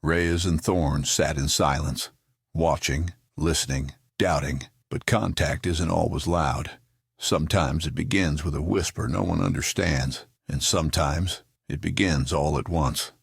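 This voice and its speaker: Very deep, gravelly male actor as solemn narrator. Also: a little slow, no echo, studio quality, exaggerated voice. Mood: solemn, ominous. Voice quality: gravelly, husky. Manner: solemn, portentous. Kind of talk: dramatic scripted narration.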